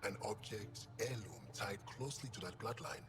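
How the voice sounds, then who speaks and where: An actor playing a solemn, resigned alien mystic in a video game, grave, measured and cryptic. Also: male voice, lowish voice, over music, lightly acted. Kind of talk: video game cutscene acting.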